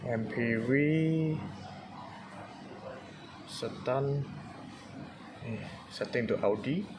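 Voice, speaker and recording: Slow, terse Malaysian man, noisy recording. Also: mid-range voice. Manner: clipped fragments, terse step-by-step. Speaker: car audio installer demonstrating a product. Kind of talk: casual hands-on product demonstration.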